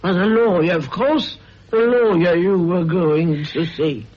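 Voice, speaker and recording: An actress playing a spiteful, scheming older woman who speaks in a slow, husky, threatening drawl. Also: on the low side. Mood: prying. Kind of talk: radio drama acting. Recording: dull old radio recording.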